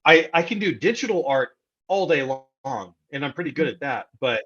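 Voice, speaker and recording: A man's voice: fairly high pitch, animated, moderate pace, a bit bright, slightly rough, lo-fi sound. An American man from Texas talking casually as an interview guest, often repeating words as he thinks aloud. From Texas, United States.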